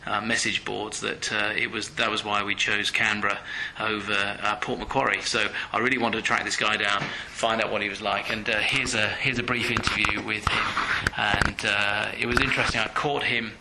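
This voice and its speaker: A man hosting a podcast, upbeat and eager, filling pauses with ums and quick affirmations. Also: on the low side, little pitch movement, vocal fry, pushed and bright, echoey room, a little hiss or hum, low-quality recording. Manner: enthusiastic, many fillers, quick affirmations. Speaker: podcast host. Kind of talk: podcast narration and interview.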